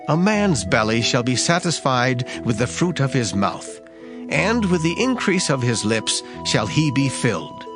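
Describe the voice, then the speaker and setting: A man giving a solemn, measured, expressive dramatized reading of scripture. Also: mid-range voice. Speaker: scripture reader. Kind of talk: dramatized Bible audiobook reading. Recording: guitar music behind the voice. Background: plucked guitar music.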